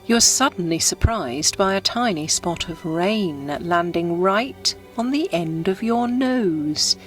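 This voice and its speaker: A woman narrates a scripted guided meditation in a gentle, unhurried, descriptive storytelling manner. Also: mid-range voice. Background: background music.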